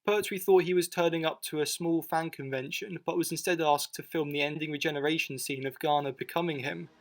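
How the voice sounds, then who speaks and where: A man's voice: fairly high pitch, fairly even intonation, fairly quick. A man narrating a scripted documentary video in an informal, matter-of-fact way. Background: music bed.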